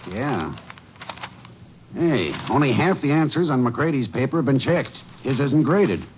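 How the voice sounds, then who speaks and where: A folksy American small-town sheriff played by a man, blunt and eager, with a medium voice that moves animatedly. It is old radio drama acting on a dull recording with clear noise. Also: medium pitch, animated, unhurried, lightly acted. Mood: curious. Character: plain-spoken small-town sheriff, eager sidekick to a ranger.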